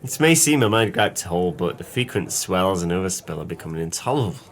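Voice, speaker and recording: Jolly, boastful, low-voiced old salt. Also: male voice, expressive, fast talker. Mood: musing, mildly aggrieved. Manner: flowery, hearty, boastful turns of phrase. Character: jovial, tipsy, impoverished former sailor, florid and boastful. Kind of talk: audio play acting.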